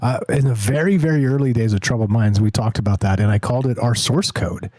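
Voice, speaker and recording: A man's voice: mid-range voice, fast talker, darkish tone, no echo. A man hosting a call-in talk show, rambling with many restarts and frequent laughs. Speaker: host.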